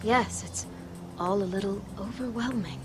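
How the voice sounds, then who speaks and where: A woman's voice: mid-range voice, normal speed, natural voice. A woman voicing a refined, anxious female ruler in a video game, with formal, proper and hesitant speech. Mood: stunned. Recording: music under the dialogue.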